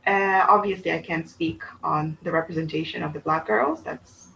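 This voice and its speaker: A woman from Bulgaria, co-hosting a casual book chat, talking in an animated, rambling way that often breaks off and restarts. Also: low-pitched, moderate pace, creaky, slight background noise, low-quality recording. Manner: chatty, animated, self-interrupting. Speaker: book channel co-host.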